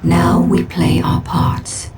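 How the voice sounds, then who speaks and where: An actor playing a wise, wary alien seer in a video game, speaking in a measured, portentous manner. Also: male voice, on the high side, fairly even intonation. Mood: solemn, calculating. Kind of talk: scripted video game cutscene acting. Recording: distant voice under game music.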